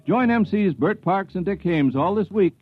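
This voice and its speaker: A man's voice: high-pitched, animated, normal speed. A man reading a scripted 1950s radio promo with a polished salesman's delivery. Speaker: network promo announcer. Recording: muffled old radio broadcast, music behind.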